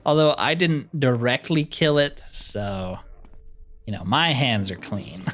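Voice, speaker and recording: A man's voice: mid-range voice, takes it slowly, fairly bright tone, soft background music. A man giving casual, wry commentary as he plays through a video game, often with dry humor. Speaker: gaming video host.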